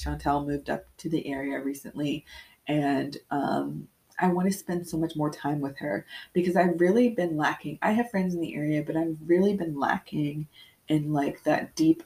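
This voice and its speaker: Solo podcast host: young woman, low voice with vocal fry, candid and reflective, a bit stuffy-nosed. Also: a little mellow, low-quality recording. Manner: candid, reflective, with frequent ums. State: congested with sniffles.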